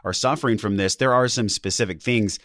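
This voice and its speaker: A man's voice: lowish voice, brisk, fairly bright tone, no echo, clean studio sound. A man hosting an interview, asking questions in an enthusiastic, conversational way. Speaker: podcast host.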